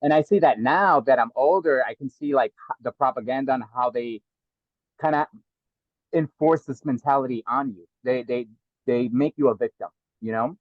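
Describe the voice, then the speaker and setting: New guy in his middle years, thinks out loud, repeats himself, dead dry muffled call audio. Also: medium pitch, normal speed, no echo. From New York (South Bronx). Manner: earnest, reflective, repeats words as he thinks. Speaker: guest. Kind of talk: casual interview talk.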